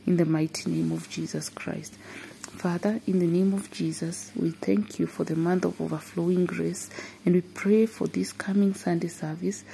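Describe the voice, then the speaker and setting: Gravelly-voiced woman leading fervent prayer. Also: fairly low pitch, mellow, a little hiss or hum, low-quality recording. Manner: fervent praying with repeated interjections. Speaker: prayer leader. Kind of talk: intercessory prayer.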